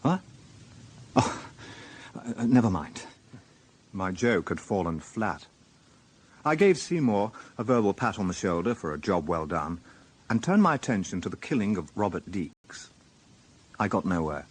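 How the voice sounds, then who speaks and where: A man's voice: low-pitched, sing-song, unhurried, pauses often, breathy edge, full character voice. An actor playing a weary, dry-witted male police inspector in a radio drama, wry and understated. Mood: puzzled, wry. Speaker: narrator and lead actor. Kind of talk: radio drama acting and first-person narration.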